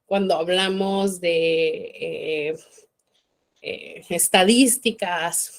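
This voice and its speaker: A woman giving an informal university law talk, conversational and full of fillers. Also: mid-range voice. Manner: conversational, many fillers like "este". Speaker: law lecturer.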